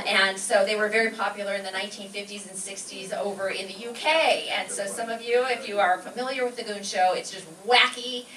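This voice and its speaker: A woman's voice: fairly high pitch, sharp tone. An American woman giving an effusive, informal welcome speech to an audience as a class instructor, recorded in a live event room. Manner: effusive, enthusiastic. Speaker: class instructor introducing a show. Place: live event room at a community learning center. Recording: roomy, distant mic with background noise.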